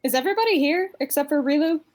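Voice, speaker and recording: A woman's voice: high voice, animated, very fast, darkish tone, dry recording. A woman chatting casually with friends on an online voice call. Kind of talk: casual chat. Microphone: online voice call, dry close sound.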